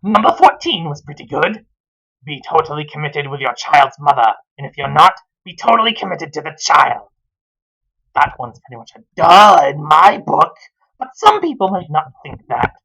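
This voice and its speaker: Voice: mid-range voice, sing-song, moderate pace, creaky, bright, forceful tone, breathy edge, heavily acted, rough recording. A reviewer voicing a smug young husband and father who narrates his own story in a mock-earnest, self-congratulatory way. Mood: pompous, gushing.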